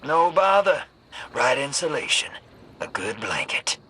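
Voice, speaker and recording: An actor playing a sly, cynical streetwise rogue in a video game, talking in folksy, clipped, sardonic slang. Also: male voice, mid-range voice, sing-song, a little slow, bright, forceful tone, a little hiss or hum, heavily acted. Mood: cynical, low. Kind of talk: video game cutscene acting.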